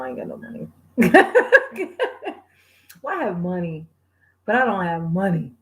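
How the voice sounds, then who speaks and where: A woman in her forties talks to her viewers in a relaxed, unhurried way. She laughs easily and sometimes breaks into a playful sing-song. Her pitch sits in the middle. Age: 40s. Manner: laid-back, laughs often, sings bits. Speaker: livestream host. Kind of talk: casual chat reading viewer comments.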